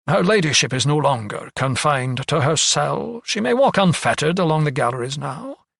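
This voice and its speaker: Man voicing an arrogant elderly physician, pitched up. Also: huge pitch swings, moderate pace, gravelly, bright, forceful tone, slight background noise, heavily acted. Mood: placating, indignant. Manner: condescending, blustering. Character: elderly Scottish male physician, arrogant, dismissive asylum principal.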